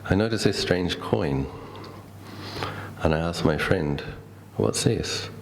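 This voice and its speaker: Casting: middle-aged Australian male, extremely low register, Buddhist teacher sharing personal anecdotes conversationally and reflectively, light background noise. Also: slow pace. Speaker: Buddhist monk giving a talk. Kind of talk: dhamma talk with personal storytelling.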